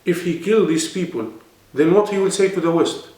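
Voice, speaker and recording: A man's voice: high voice, normal speed, a little mellow. A man with an Arabic accent, speaking non-native English and explaining earnestly as a guest in a serious interview. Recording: echoey room, mic at a distance.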